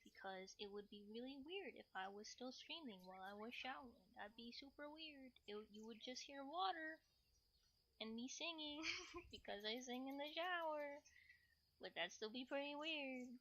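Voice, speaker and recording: A woman's voice: sits high, lively intonation, normal speed, sharp tone, slight background noise. A woman livestreamer chatting casually, playfully rambling and thinking aloud.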